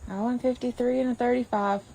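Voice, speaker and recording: A woman's voice: a little high, fairly even intonation, brisk, vocal fry, warm tone, rough recording. A woman playing a sheepish but breezy wife in an everyday comedy skit. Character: sheepish then breezy wife confessing to her man. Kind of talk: comedic two-person skit, everyday dialogue. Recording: faint background noise.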